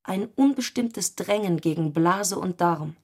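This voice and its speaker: Eine Schauspielerin spricht in einem literarischen Hörspiel eine grüblerische, knappe, fragende innere Stimme einer Frau. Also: female voice, lowish voice, animated, unhurried, a little mellow, husky. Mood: brooding. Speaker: second narrating voice in a literary radio play. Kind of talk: literary radio drama narration.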